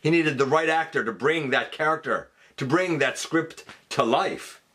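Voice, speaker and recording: A man's voice: a little high, normal speed, sharp tone, husky. A man hosting a fan countdown, giving casual, enthusiastic and emphatic commentary. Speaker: host of a fan countdown show.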